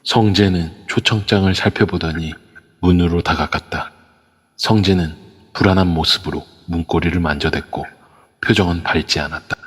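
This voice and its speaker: A man narrating an audio drama in a calm, measured storytelling voice with a husky tone. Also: low voice, long reverb tail.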